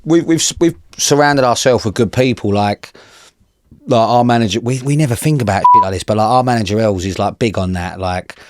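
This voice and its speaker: A man's voice: medium pitch, brisk, very noisy. A man, a musician guest rambling casually and swearing as he tells stories. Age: 30s. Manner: laid-back, swearing, rambling. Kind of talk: casual interview chat. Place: pub.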